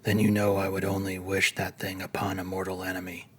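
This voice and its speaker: A man voicing a dying old lizard-man, sly and proud, with a husky voice and a theatrical delivery in a dramatized fantasy story. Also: deep voice, monotone, a little fast, a little hiss or hum, close to an everyday voice. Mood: grim, knowing.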